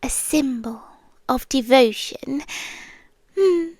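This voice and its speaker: A British woman voicing a sweetly menacing, possessive sea-creature girlfriend in a soft, breathy, intimate ASMR roleplay. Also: high-pitched, lively intonation, no echo, exaggerated voice. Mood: sweetly coaxing. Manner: soft, breathy half-whisper, drawn-out pauses. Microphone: close ASMR microphone.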